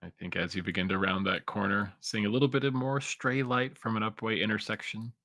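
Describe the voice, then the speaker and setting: A man with a fairly low voice and a bright, forceful edge runs a tabletop game, narrating scenes and calling for checks. His delivery is easygoing and amused. The sound is dry and close. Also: a little fast, dry recording. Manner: relaxed descriptive narration, laughs often. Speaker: game master.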